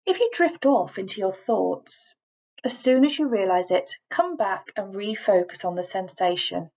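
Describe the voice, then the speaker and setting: A woman reading a scripted guided relaxation in a measured, instructing manner, leaving deliberate pauses between phrases. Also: mid-range voice, expressive, darkish tone, dull audio. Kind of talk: scripted guided meditation voiceover.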